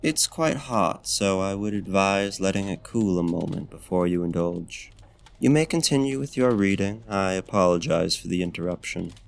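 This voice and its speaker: Guy doing a deep gravelly vampire gentleman, asmr roleplay, super polite n flirty, faintly menacing. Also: normal speed, slight background noise. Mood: attentive, hospitable. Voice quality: low, gravelly. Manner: formal, archaic, courteous and flirtatious phrasing. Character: courtly male vampire host, smooth, gently menacing and seductive. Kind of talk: ASMR roleplay, slow and intimate.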